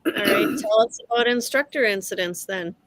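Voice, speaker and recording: A woman with a mid-range voice hosts the show. She reads viewer questions out in a brisk, matter-of-fact way. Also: mid-range voice, a bit bright, no echo. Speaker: host.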